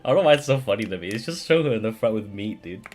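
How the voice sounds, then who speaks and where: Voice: medium pitch, expressive, fast talker, darkish tone, slight background noise. A game streamer giving casual, slangy, reactive live commentary. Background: game music.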